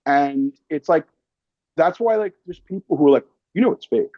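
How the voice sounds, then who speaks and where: A man in casual chat as an interview guest, telling stories in an animated, slangy way and swearing freely. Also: fairly high pitch, normal speed, no echo, muffled recording. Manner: slangy, calls listener man and brother. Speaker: interview guest, actor and filmmaker.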